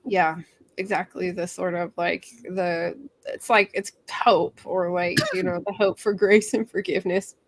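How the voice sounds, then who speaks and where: A woman's voice: on the low side, little pitch movement, unhurried, dry recording. A woman hosting a book discussion, chatting informally and often saying "like" as she thinks. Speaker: book club livestream host. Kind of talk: casual discussion.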